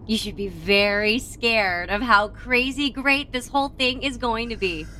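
An actress playing a scorned, menacingly eager musical writer in an audio soap opera, theatrical and pointed. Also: female voice, fairly high pitch, unhurried, sharp tone, a little hiss or hum. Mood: gleeful menace.

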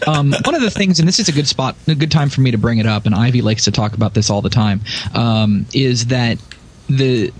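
A man's voice: medium pitch, a little fast, husky. A man in a casual gaming podcast discussion, explaining strategy in a detailed way. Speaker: podcast panelist.

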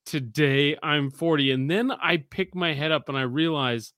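Bright-voiced man in his forties, rambling. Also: a little high, moderate pace, no room sound, clean studio sound. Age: 40s. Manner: rambling storyteller, swears freely. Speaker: podcast host. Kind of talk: casual podcast banter.

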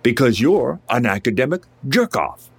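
A man's voice: medium pitch, sing-song, moderate pace, breathy edge. A man playing a mocking, swaggering, blunt ex-Marine enforcer in an audio drama. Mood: taunting. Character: cocky, needling, foul-mouthed ex-Marine tough guy. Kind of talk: audio drama acting, taunting monologue.

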